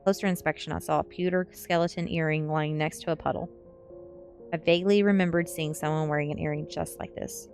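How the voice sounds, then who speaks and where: Low-voiced woman reading a story aloud. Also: fairly even intonation, fairly quick, a little mellow. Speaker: streamer reading a novel aloud. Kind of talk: storybook narration, ASMR-style read-aloud. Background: faint background music.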